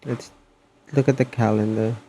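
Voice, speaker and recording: A man chatting casually on a game livestream in a hesitant, halting manner. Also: mid-range voice, steady tone, mellow, breathy edge, low-quality recording. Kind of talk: casual stream chat.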